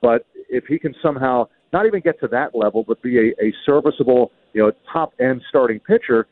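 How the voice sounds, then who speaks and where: A man's medium-pitched voice comes through a narrow, tinny connection. He gives sports analysis as a radio guest, often doubling back on his words as he builds a point. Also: expressive, brisk, no echo. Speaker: baseball radio play-by-play announcer, guest. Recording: muffled narrow-band radio call-in line.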